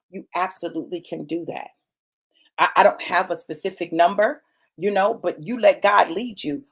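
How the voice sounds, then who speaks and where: A woman in her late fifties delivering a motivational sermon, emphatic and repetitive, addressing listeners as y'all and baby. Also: fairly low pitch. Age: 50s. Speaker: preacher.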